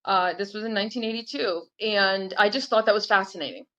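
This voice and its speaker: Woman in her sixties, from the US, interview guest, measured thoughtful speech, dull muffled recording. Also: medium pitch, a bit bright. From United States. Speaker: guest, nurse practitioner. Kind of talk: conversational podcast interview. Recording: dull lo-fi remote audio.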